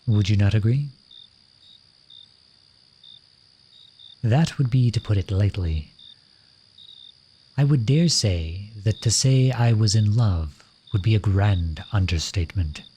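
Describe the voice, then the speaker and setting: A lovesick royal at a masquerade, played by a man, speaks in a fairly low voice. His phrasing is formal and courtly, addressed intimately to one listener as in a romantic audio roleplay. Crickets and night ambience are clearly audible behind him. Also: animated, unhurried, dark tone, slight background noise. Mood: wistful, tender.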